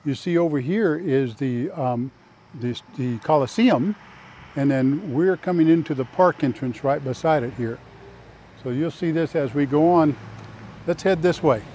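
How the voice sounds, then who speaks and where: A man's voice: on the high side, a little mellow, a little hiss or hum. An older man hosting a casual walking tour outdoors on a lapel mic, folksy and plain-spoken. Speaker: vlog host and tour guide. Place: outdoors in a park.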